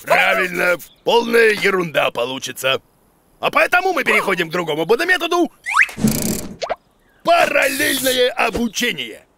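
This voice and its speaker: A man voicing an excitable, pompous, muddled monster teacher in a children's educational cartoon, with a creaky voice. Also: high-pitched, moderate pace, a little hiss or hum, heavily acted. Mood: confidently muddled. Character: blustery, absurd, bossy male monster teacher for children.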